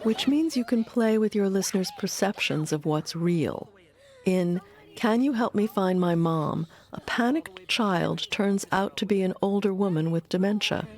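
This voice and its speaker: A woman's voice: medium pitch, unhurried, darkish tone. A woman delivering scripted narration as a documentary narrator.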